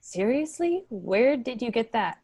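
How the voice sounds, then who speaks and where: A woman acting a blunt office worker in a staged play reading. The voice is fairly high, and the manner is questioning and direct. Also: big pitch swings, unhurried, mellow, breathy edge, exaggerated voice.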